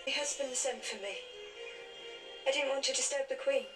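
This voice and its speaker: A woman's voice: high-pitched, steady tone, moderate pace, over music, lightly acted. A woman playing a discreet wife at court in a period television drama. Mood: guarded.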